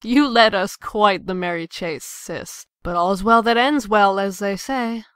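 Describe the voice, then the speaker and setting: A woman's voice: medium pitch, a little slow, fairly bright tone, smooth, no room sound, studio quality, close to an everyday voice. A woman playing a cocky, defiant young rebel in a comic dub, taunting and declamatory. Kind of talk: amateur comic dub acting.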